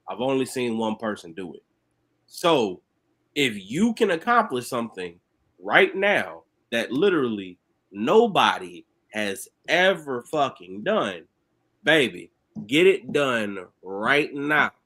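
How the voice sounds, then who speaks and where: Animated, slangy man arguing sports. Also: mid-range voice, slow pace. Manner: animated, swearing, laughing, slangy. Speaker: podcast panelist. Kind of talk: casual sports debate.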